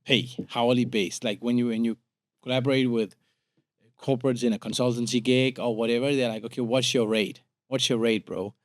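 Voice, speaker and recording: Male, 37, Danish accent, podcast guest. Average pitch, casual halting delivery with repeats, very dry sound. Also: steady tone, no room sound. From Denmark. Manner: informal, halting with repeated words. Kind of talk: casual conversational interview.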